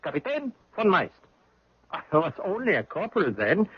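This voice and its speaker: An actor playing a boastful middle-aged German army captain with a German accent in a radio drama. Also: male voice, high-pitched, wildly swooping pitch, moderate pace, husky, dry recording, heavily acted. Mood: astonished recognition. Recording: muffled old radio broadcast.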